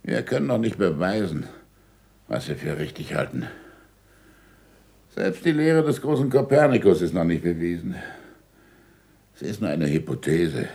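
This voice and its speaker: A man's voice: deep voice, dark tone. An actor playing a cautious, teacherly older male scholar in a 1960s German radio drama.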